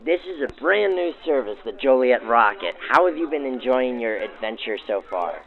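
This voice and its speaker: A man's voice: medium pitch, lively intonation, normal speed, vocal fry, phone-quality sound. A man interviewing guests, heard through a vintage radio filter. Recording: band-limited old-radio sound.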